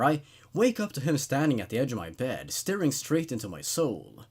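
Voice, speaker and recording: A man hosting a horror story reading, narrating in an expressive, conversational, laid-back way. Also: medium pitch, husky. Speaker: host reading horror stories aloud.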